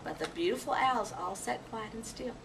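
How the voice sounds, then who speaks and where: A woman narrating a children's storybook in an expressive, dramatic way. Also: medium pitch, moderate pace, creaky. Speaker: storybook reader. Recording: distant mic, faint background noise.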